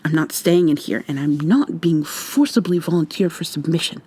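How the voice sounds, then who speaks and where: A woman playing a defiant, frightened young human captive in an intimate, hushed, breathy ASMR roleplay, tense and sweary. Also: low voice, normal speed. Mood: panicked, bitter. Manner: tense, profane, confrontational.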